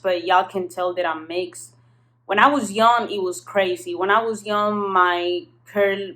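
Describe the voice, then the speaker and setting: A young woman from southern Spain speaks in a fairly low voice, as a native Spanish speaker using casual, slangy English. She tells her story frankly to a camera a little way off in her apartment, swearing freely. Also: a bit bright. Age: young adult. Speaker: vlogger. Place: apartment kitchen-living room.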